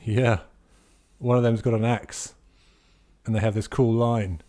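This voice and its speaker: A man playing a casual, awkwardly friendly monster hunter who talks in a blunt, halting, matey way. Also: mid-range voice, level tone, warm tone, close to an everyday voice. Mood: matey, flustered.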